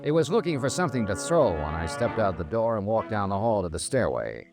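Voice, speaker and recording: A man's voice: on the low side, very animated, brisk. An American man acting a wry, world-weary insurance investigator in a 1950s radio drama, narrating and talking in a clipped, dry, hard-boiled way. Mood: wry, brooding. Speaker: star narrator of a detective radio serial. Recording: vintage radio broadcast with faint music.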